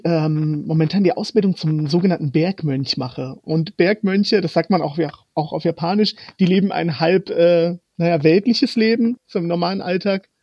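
A young man from Germany whose first language is Romani, a guest in an interview, talking in halting bursts as he hunts for words. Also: high voice, a little mellow, no room sound, dull audio. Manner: halting, pausing often to find words. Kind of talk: interview conversation.

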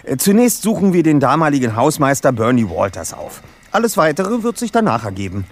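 An actor playing a young amateur detective leader in an audio drama, speaking in crisp, slightly formal phrases. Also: male voice, fairly high pitch, big pitch swings, fairly quick. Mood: businesslike.

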